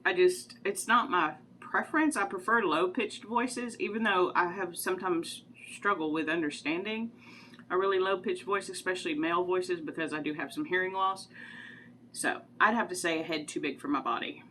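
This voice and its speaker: A woman's voice: on the low side, normal speed, bright, forceful tone, a little hiss or hum, low-quality recording. A woman, chatting casually and rambling through would-you-rather questions with frequent giggles. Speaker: vlogger.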